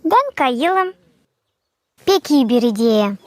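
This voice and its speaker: A woman's voice: high-pitched, big pitch swings, takes it slowly, heavily acted. A woman voicing an eager young animal child in a cartoon, chummy and enthusiastic. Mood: keen. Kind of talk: cartoon character acting.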